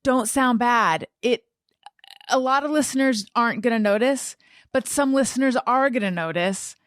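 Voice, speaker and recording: A woman's voice: on the high side, moderate pace, a bit bright, no room sound, clean studio sound. A woman hosting a podcast, chatting casually in a candid, blunt way with self-deprecating humour. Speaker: podcast host. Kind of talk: casual conversational podcast chat.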